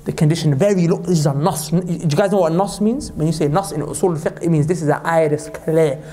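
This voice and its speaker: Fast-talking impassioned man preaching. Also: dark tone. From Pakistan. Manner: impassioned, rising to high emphatic peaks; quick recitation of scripture. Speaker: Islamic preacher. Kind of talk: sermon.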